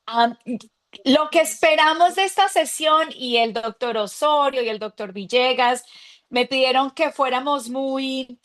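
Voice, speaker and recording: A woman's voice: high voice, unhurried, a bit bright. A woman, an interventional cardiologist, giving a chatty, enthusiastic talk to colleagues over a video call. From Colombia.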